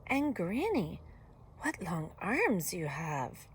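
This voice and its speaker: A woman's voice: fairly low pitch, big pitch swings, slow pace, breathy edge, full character voice. A woman voicing an innocent little girl in a storybook, polite, earnest and wide-eyed. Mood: wondering.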